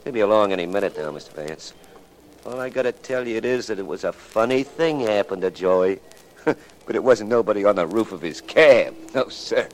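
A man's voice: fairly low pitch, expressive, fairly quick, background noise, close to an everyday voice. An actor playing a genial, talkative beat policeman in a late 1940s radio drama, folksy and emphatic. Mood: amused.

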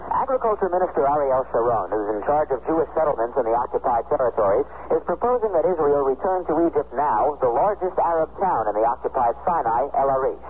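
Fast male correspondent on tinny line. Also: mid-range voice, animated. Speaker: foreign news correspondent. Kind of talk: scripted radio news report. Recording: tinny phone-line report, faint noise.

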